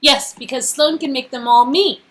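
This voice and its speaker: A woman's voice: sits high, moderate pace, fairly bright tone. A woman performing interactive game dialogue in a casual, acted read-through style. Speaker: performer reading game script.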